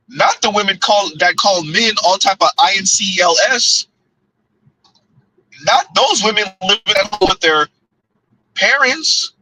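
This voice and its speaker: Male stream host, high and sharp-toned, casual chat-reading commentary, laughs a lot. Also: breathy edge, rough recording. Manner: laughs often, talks back to chat. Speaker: livestream host.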